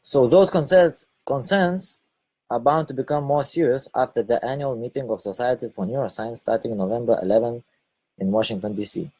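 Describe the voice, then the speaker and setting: A man from Lithuania with a Lithuanian accent, giving a halting spoken monologue and often repeating or correcting his words. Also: mid-range voice, warm tone, slightly rough. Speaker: online video host.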